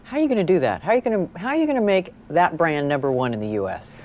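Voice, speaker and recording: A woman with a lowish voice that moves up and down in a lively way, interviewing a guest on radio. She asks curious, engaged questions. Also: very fast.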